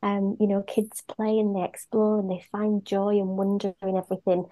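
Mellow-voiced reflective woman. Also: mid-range voice, flat delivery, moderate pace, rough recording. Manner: gentle, reflective, frequent fillers. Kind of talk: casual conversation.

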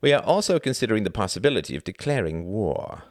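A man playing a smug, resentful grown son in an audiobook, speaking in a dry, deliberate, self-important way. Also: on the low side, sing-song, dry recording, pitched above the performer's own voice. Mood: smug, urgent.

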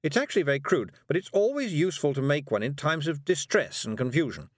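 A man's voice: on the high side, big pitch swings, quick pace, no room sound, studio quality, voice pushed up. A man voicing a fussy, nervous woman witch in an audiobook, talking in a prim, clipped way. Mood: flustered. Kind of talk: audiobook character voice.

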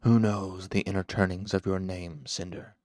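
A man voicing a hooded dark lord in a fantasy story, cool and controlled, speaking with slow, precise patience and quiet menace. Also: fairly low pitch, vocal fry, dry recording, clean studio sound. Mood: calm interrogation. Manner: slow, patient, precise, sharpening to hard command.

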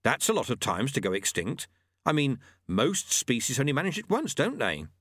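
A man acts an earnest young apprentice in a storybook, bewildered and quick to object. The pitch is raised and very animated. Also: slightly rough, no room sound, clean studio sound, exaggerated voice. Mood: puzzled. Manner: questioning, incredulous.

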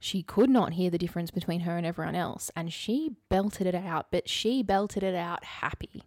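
A woman of about thirty tells stories casually as a podcast co-host. Her voice sits a little low and often drops into vocal fry, and the sound is close and dry. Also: moderate pace, darkish tone, dry recording. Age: late 20s to early 30s. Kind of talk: casual chatty storytelling.

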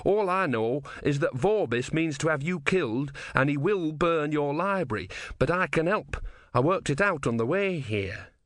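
A man's voice: on the high side, expressive, normal speed, fairly bright tone, no room sound, studio quality, pitched above the performer's own voice. A man voicing an earnest young male novice priest in an audiobook story, hesitant and plain-spoken.

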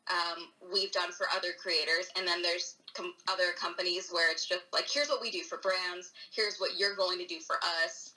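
Bright-voiced young American woman. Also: on the low side, steady tone, normal speed, few pauses, low-quality recording. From United States. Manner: thoughtful, hesitant with many "um"s and "like"s. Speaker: guest YouTuber. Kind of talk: casual interview chat.

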